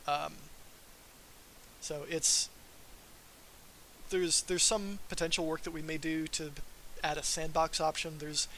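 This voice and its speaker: Bright-voiced man presenting a tech demo. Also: fairly high pitch, level tone, slow pace, long pauses, poor audio. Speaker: software developer presenting a live demo. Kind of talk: informal technical livestream presentation. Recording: faint background hiss.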